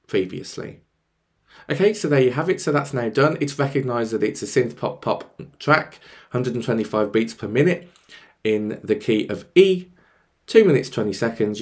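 A man in his thirties with a slightly croaky voice, presenting a casual on-screen tutorial and thinking aloud as he goes. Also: lowish voice, darkish tone. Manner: explanatory, thinking aloud as he clicks. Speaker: presenter of music tech tutorials. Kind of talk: casual screen-share walkthrough.

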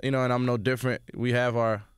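A young man with a New York accent, originally from Sudan, talking casually as an interview guest in a radio studio. He speaks in a relaxed way and repeats words as he thinks. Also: mid-range voice, quick pace, clean tone, no room sound. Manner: laid-back, repeats words while thinking. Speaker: rapper guest. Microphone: studio mic.

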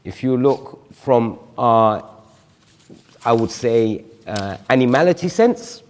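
A man's voice: mid-range voice, lively intonation, takes it slowly, a little mellow, in a large hall. A man in his forties, a guest on a studio panel discussion, explaining his view reflectively with examples. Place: TV studio stage.

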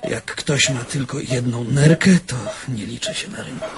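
An actor playing a nervy, cynical surgeon in a radio drama. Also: male voice, mid-range voice, expressive, moderate pace, crowd noise, lightly acted. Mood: flustered.

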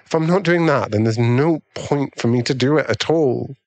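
A man playing a doting, easily swayed millionaire boyfriend in an ASMR roleplay, pleading and halting, with breathy sighs. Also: medium pitch, darkish tone, no room sound, close to an everyday voice. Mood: anxious, pleading.

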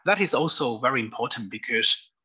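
A middle-aged man from mainland China with a Chinese accent lectures over slides in a webinar. His voice is very bright and a little husky, and his delivery is hesitant, with frequent fillers and restarted phrases. He speaks from an office desk into a webcam. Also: mid-range voice, lively intonation, brisk. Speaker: lawyer giving a lecture.

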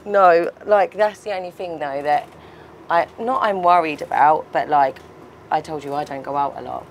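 A young woman in her twenties chatting candidly and lively with her partner on a date on a boat. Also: deep voice, normal speed, slight background noise. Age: 20s. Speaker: reality show contestant. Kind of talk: casual chat on a date. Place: outdoors on a boat at sea.

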